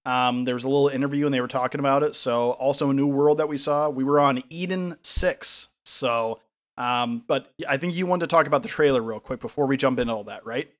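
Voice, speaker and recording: Excitable man telling a gaming story. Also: medium pitch, a little fast. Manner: animated storyteller, laughs through his stories. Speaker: podcast co-host. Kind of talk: casual chat.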